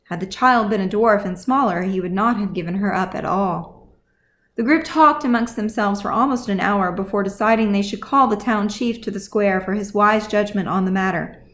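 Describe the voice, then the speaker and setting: A woman's voice: mid-range voice, normal speed, a bit bright. A woman reading scripted fantasy fiction as audiobook narration, as the author of the story.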